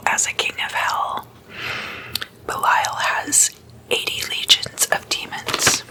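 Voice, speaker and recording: A person whispering in a breathy, unvoiced voice, chatting and explaining as an ASMR host in a deliberate manner. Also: sharp tone, low-quality recording. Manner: slow, deliberate, with drawn-out pauses.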